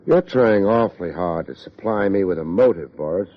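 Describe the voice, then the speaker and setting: Low-voiced hard-boiled American private eye. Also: male voice, moderate pace. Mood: brisk questioning. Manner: terse, slangy, hard-boiled deadpan. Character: tough, wry male private investigator narrating his case. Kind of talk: 1950s radio detective drama. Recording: dull old radio recording, music behind.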